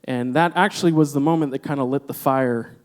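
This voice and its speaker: A singer from Puerto Rico talking earnestly between songs on a concert stage, pausing often with fillers. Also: male voice, medium pitch, clean tone. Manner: earnest, halting, with many fillers. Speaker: guest singer.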